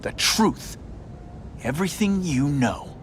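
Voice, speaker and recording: An actor playing a young, wisecracking but earnest superhero in a video game, with an American accent and a sarcastic, expressive delivery. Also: male voice, fairly high pitch, slow, slight background noise. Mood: cocky quipping. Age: young adult.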